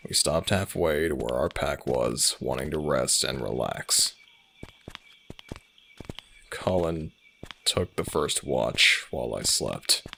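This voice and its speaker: A man playing a world-weary, centuries-old werewolf alpha in an audio drama, brooding and sardonic, with a gravelly voice. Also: expressive, pushed and bright, a little hiss or hum, exaggerated voice. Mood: somber storytelling. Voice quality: very deep, gravelly. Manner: slow, brooding, deliberate. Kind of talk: dramatic audio roleplay acting.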